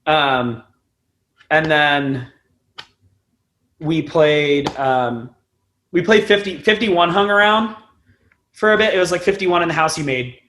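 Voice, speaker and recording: A man's voice: on the high side, slow pace, pauses often, fairly bright tone, slight background noise. A young adult man talking as an interview guest in a casual, rambling, informal way, with some loose swearing. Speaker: band frontman, podcast guest.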